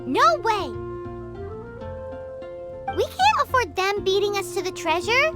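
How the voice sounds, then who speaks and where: A woman voicing a small, excitable fairy girl companion in a video game, chatty and full of exaggerated enthusiasm. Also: takes it slowly, exaggerated voice. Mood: eager. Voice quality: high, childlike. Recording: game audio with loud music behind.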